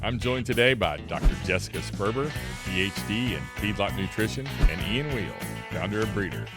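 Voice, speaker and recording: Male podcast host, very low voice, friendly and brisk, with faint music underneath. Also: animated. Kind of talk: podcast hosting and interview.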